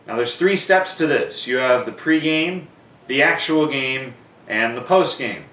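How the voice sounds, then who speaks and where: A man's voice: mid-range voice, unhurried, sharp tone. A man giving an informal, conversational how-to lesson, explaining things step by step. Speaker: sports announcing instructor. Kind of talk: instructional how-to talk with demonstrations. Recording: echoey room, distant microphone.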